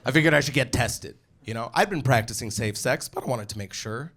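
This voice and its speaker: A man's voice: mid-range voice, a little fast. A man doing stand-up comedy in front of a live audience, telling a story casually and acting out dialogue. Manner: casual, animated, swearing, acts out dialogue. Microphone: stage microphone. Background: audience laughter.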